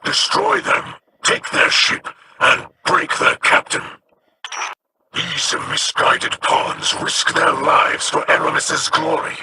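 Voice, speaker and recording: A weathered alien captain from a video game. He speaks slowly and formally in a gravelly voice, stern and solemn, with big swings in pitch. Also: wildly swooping pitch, noisy background, full character voice. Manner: slow, formal, deliberate speech. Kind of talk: video game character acting.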